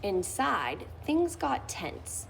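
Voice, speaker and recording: Slow, gravelly woman reading a story. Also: mid-range voice, a little hiss or hum. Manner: expressive, with lively lifts on key phrases. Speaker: reader of a children's book. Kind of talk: read-aloud storytelling.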